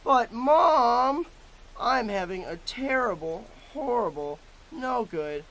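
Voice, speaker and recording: A man's voice: extremely high pitch, sing-song, a bit bright, exaggerated voice, poor audio. A man playing an aggrieved, sulky young boy, telling his story in a dramatic, complaining way for an audio drama. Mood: exasperated. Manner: dramatic, complaining, drawn-out emphasis. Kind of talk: first-person storybook narration in an audio drama.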